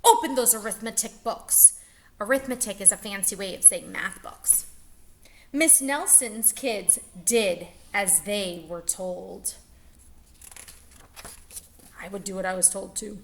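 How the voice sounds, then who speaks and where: A woman teacher reading a picture book aloud to young children, expressive and stretching words for emphasis. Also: mid-range voice, slow pace, creaky, long pauses, a little hiss or hum.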